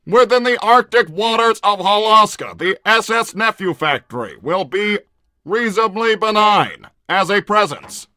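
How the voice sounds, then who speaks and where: Literal-minded combat robot, high pitch, clean sharp tone, deadpan, formal, stilted, livestream roleplay. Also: male voice, unhurried, dry recording, heavily acted. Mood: deadpan, dismissive. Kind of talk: in-character livestream roleplay, reading viewer messages.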